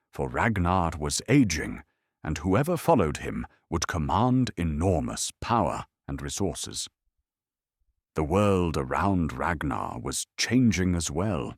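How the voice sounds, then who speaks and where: A man narrating a calm bedtime history documentary in a measured, solemn storytelling manner. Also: sits low, no echo, clean studio sound.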